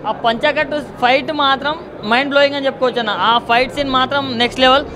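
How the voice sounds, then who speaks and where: An effusive man with a Telugu accent giving an excited, gushing vox pop review as a moviegoer. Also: very high-pitched, very fast. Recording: crowd chatter, outdoor street noise. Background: crowd outdoors.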